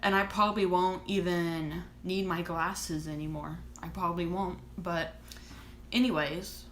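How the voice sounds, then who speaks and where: A woman's voice: fairly low pitch, fairly even intonation, a little slow, a bit bright, reverberant, slight background noise. A woman in her thirties chatting casually to camera as a vlogger, rambling and restarting her thoughts. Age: 30s. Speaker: vlogger and author.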